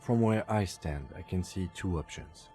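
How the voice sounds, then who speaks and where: A calm, pragmatic video game detective played by a man, with a very deep and mellow voice. He talks in measured, formal sentences with dry matter-of-factness. Music plays underneath. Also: natural voice. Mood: matter-of-fact. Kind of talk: scripted video game dialogue.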